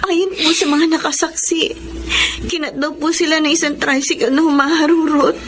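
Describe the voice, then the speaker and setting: A woman's voice: high voice, steady tone, moderate pace, a bit bright. A woman playing a devout, polite young daughter who speaks earnestly and respectfully in a radio drama style. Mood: earnest, worried. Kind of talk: Tagalog radio drama acting.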